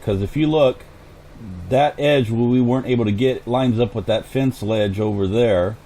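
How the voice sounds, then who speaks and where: A man casually commenting on his own farming game as host, thinking aloud as he plays, his voice hoarse and going. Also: medium pitch, moderate pace, mellow. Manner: chatty, thinks aloud while playing. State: hoarse, clearing throat. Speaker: gaming channel host.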